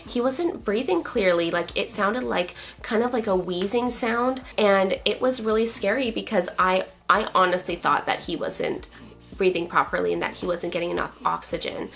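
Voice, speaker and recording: A young woman, a new mother, talking casually to camera, her voice a little raspy from a recent cold, chatty and open. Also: lowish voice, a bit bright. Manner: open, chatty, relieved, many likes and so's. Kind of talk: casual vlog chat.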